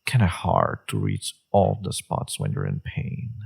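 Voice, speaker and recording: A man playing a flirtatious, teasing villain with a secretly tender side in an intimate romantic audio roleplay, his voice husky and murmured. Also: big pitch swings, full character voice. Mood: gentle, probing. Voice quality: low, husky, gravelly, breathy. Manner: slow, teasing, murmured delivery.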